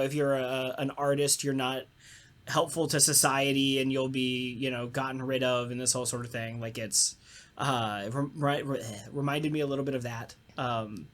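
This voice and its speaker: The voice sits at a middle pitch and belongs to a podcast co-host in a relaxed group discussion. The delivery is enthusiastic and informal, with casual swearing thrown in. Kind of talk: casual round-table chat.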